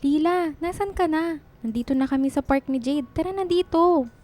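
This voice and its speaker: A woman's voice: high-pitched, moderate pace, darkish tone, faint music behind, close to an everyday voice. A woman playing a teasing, caring young best friend in a radio drama. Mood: teasing, lively.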